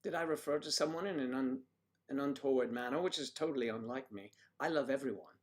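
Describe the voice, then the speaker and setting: A man's voice: medium pitch, fast talker. Chatty man hosting a livestream. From United States. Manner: chatty, jokey, rambling, talks to viewers by name. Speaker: livestream host reading chat. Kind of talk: casual livestream chat.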